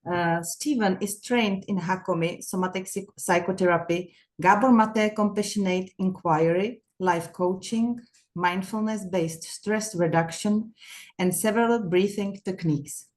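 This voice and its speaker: A woman hosting an interview, politely reading a guest introduction and asking measured questions. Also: mid-range voice. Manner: polite, measured, reads lists slowly. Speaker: interviewer, festival host.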